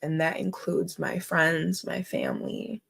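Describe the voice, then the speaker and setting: Slow, gravelly-voiced emotional woman. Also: sits low, huge pitch swings, fairly bright tone. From Minnesota, USA. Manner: emotional, halting, earnest. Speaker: host. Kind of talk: emotional personal commentary vlog.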